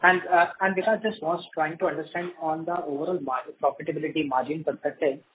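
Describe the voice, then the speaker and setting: A man's voice: fairly high pitch, fairly even intonation, quick pace. A man politely putting questions as an analyst on an earnings call. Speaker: financial analyst asking questions. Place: phone call. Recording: thin phone line with clear noise.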